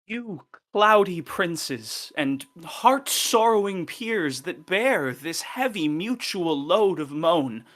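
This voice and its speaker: Woman playing a swooping, slow-spoken scheming duke. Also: on the low side, a bit bright, full character voice. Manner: measured, oratorical verse speech. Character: smooth scheming male duke, courtly political counsellor. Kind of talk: Shakespearean radio play acting.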